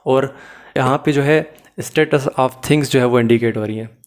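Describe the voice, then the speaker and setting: A man's voice: medium pitch, unhurried. A man giving an explanatory lecture as a teacher, in a didactic way, mixing in English phrases and often checking that listeners follow. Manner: mixes English phrases into Hindi-Urdu. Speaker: law teacher.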